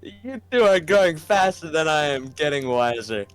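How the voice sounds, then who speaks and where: A man's voice: a little high, very animated, normal speed, clean tone, soft background music. A man playing an affable, jesting friend of a president in a game, jovial and lightly teasing. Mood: fond.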